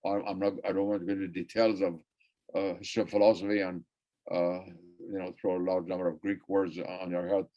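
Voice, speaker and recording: A man's voice: low voice, flat delivery, a little fast, dark tone. An older man whose first language is Persian gives an academic lecture, wandering between points and repeating phrases for emphasis. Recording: muffled lo-fi recording.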